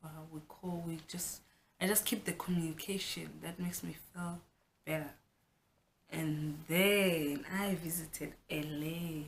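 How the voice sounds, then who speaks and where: Gravelly low South African woman. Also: fairly even intonation, slow, a little hiss or hum. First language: Xhosa. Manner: chatty, frank, restarts often. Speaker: vlogger. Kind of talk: casual personal vlog.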